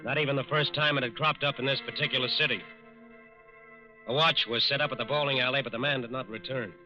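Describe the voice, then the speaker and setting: A man's voice: mid-range voice, fairly even intonation, fast talker. A man narrating a scripted 1940s radio crime show in a dramatic, emphatic, authoritative manner. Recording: muffled 1940s radio recording. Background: music.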